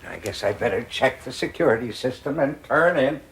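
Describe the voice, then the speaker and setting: A man's voice: mid-range voice, lively intonation, brisk, close to an everyday voice. A man playing a plain-spoken groundskeeper in a television drama.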